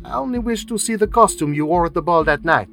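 A man's voice: high-pitched, lively intonation, fast talker, creaky, fairly bright tone, soft background music, voice pushed up, full character voice. A man voicing a fussy, dapper middle-aged male detective who speaks precisely and politely with French touches. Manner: precise, polite, faintly French-flavoured phrasing.

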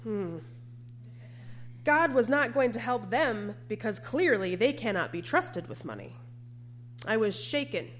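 A woman preacher delivering a sermon in a measured, emphatic way, with deliberate repetition. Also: a little high, slight background noise.

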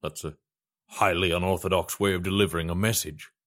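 Man voicing a deep storybook emperor, boastful and hearty. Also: steady tone, a little fast, a bit bright. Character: jovial, pompous male emperor.

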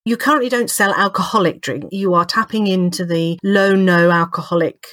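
A woman hosting a podcast who introduces her guest and asks him questions in a measured, polite way. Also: fairly low pitch, a bit bright. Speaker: podcast host. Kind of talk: interview questions.

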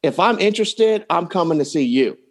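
A man's voice: a little high, animated, a little fast. A man speaking as a coach and podcast guest, giving plain-spoken advice in casual talk and acting out example lines.

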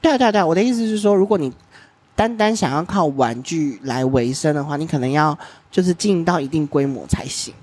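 A man's voice: on the high side, expressive, fast talker, darkish tone, breathy edge. A man hosting an interview podcast, chatting casually and giving long, talkative explanations. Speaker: podcast host.

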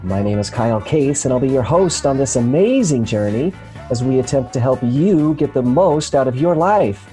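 Slightly raspy man hosting a remote show. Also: mid-range voice, expressive, mellow, soft background music. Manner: earnest, halting, many restarts and fillers. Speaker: host. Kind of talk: casual health talk show chat. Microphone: remote video call.

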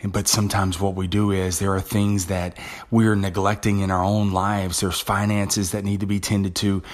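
A man's voice: deep voice, level tone, moderate pace. A man hosting his own podcast, talking through a personal story in a casual, conversational monologue with frequent restarts. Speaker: podcast host. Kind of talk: motivational monologue.

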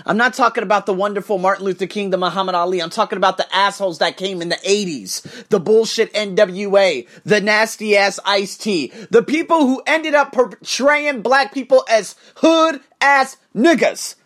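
A man hosting a podcast, delivering an emphatic, profane monologue to camera with sudden rises in pitch and frequent restarts. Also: normal speed, few pauses, a bit bright. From United States. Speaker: podcast host.

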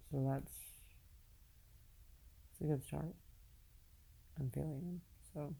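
Woman, podcast host, personal monologue, narrow flat-leaning intonation, slow halting pace, husky, weary, downcast, candid. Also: deep voice. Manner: halting, searching, with long pauses; candid, casually profane. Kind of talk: unscripted personal monologue, confessional.

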